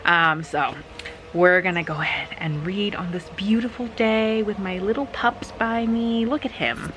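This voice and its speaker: A woman's voice: medium pitch, unhurried, noisy background. A woman chatting casually to viewers as a book vlogger, in an informal, effusive, slangy way.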